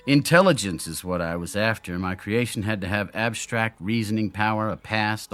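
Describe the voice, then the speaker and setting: A man's voice: fairly low pitch, fairly bright tone, lightly acted. An actor in a radio drama playing a brilliant, lonely male inventor who explains himself in theatrical, brooding monologues. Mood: proud explaining. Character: brilliant, lonely, self-doubting male inventor of an android double.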